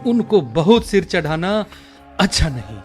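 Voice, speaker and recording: Old village dad in a radio play, high lively voice, scolding but placating. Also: male voice, measured pace, soft background music. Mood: admonishing. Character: elderly village patriarch, placating father of grown sons. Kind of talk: Hindi radio drama acting.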